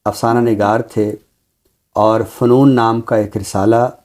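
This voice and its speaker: A man's voice: on the low side, level tone, takes it slowly, darkish tone. Pakistani man giving a scholarly book talk. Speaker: literary guest presenter introducing books. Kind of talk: literary talk, book review.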